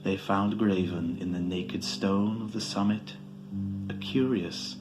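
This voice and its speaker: A man narrating a scripted horror story in a solemn, measured, dramatic way, as a podcast storyteller. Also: deep voice, fairly even intonation. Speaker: podcast host reading a story. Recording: music behind the voice.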